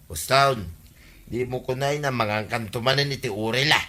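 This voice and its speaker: An actor playing a blunt, exasperated husband who scolds, in a radio comedy drama. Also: male voice, mid-range voice, steady tone, normal speed, pushed and bright, close to an everyday voice.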